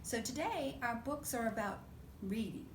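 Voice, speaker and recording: A woman's voice: on the high side, expressive, moderate pace. A woman leading a preschool storytime, reading aloud and adding simple explanations and questions for young children. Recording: roomy echo, faint background noise.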